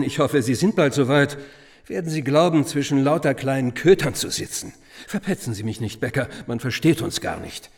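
Man acting a gossipy cynical teacher in a german radio drama, ironic, confiding, medium pitch. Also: a little mellow, close to an everyday voice. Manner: ironic, chatty, conspiratorial. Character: gossipy, sardonic schoolteacher, cynical confirmed bachelor.

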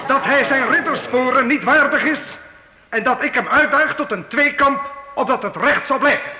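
An actor playing a bold, earnest young knight in a youth radio play, with formal, courtly, declamatory speech. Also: male voice, very high-pitched, moderate pace. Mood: defiant. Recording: echoey, noisy old radio play recording.

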